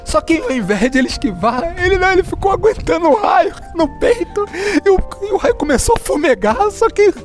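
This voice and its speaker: A Brazilian man with a fairly high voice tells old game stories in a meandering, unhurried way. He often searches for his words and chuckles along the way, with faint music playing behind him in a stairwell. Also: big pitch swings. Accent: Brazilian Portuguese. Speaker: guest, fellow tabletop player. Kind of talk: casual storytelling. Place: stairwell of an apartment building.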